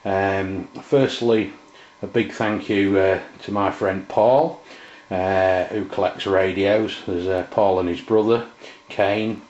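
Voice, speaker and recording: A middle-aged man giving an informal, halting, unscripted product review in a room at home. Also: sits low, fairly even intonation, a little mellow. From England. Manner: hesitant, halting, unscripted delivery. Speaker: presenter, radio hobbyist. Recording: muffled, roomy camera sound.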